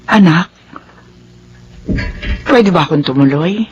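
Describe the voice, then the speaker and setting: A woman's voice: on the low side, animated, takes it slowly, dull audio, faint music behind. An actress playing a loving, wounded middle-aged mother in a Filipino radio drama, speaking with melodramatic emotion. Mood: tender, hesitant.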